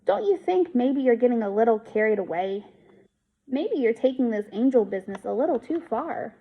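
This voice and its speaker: A woman voicing a calm, reassuring mother in a storybook, gentle, patient and consoling. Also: fairly high pitch, normal speed, phone-quality sound. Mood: concerned.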